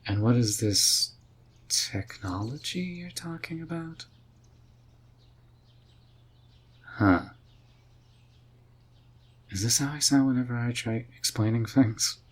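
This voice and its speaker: A man playing a laid-back, wry and curious male mage in a fantasy roleplay, musing and gently teasing as he talks. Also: medium pitch, lively intonation, unhurried, long pauses, slightly rough. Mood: fascinated. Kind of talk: roleplay audio drama addressed to the listener.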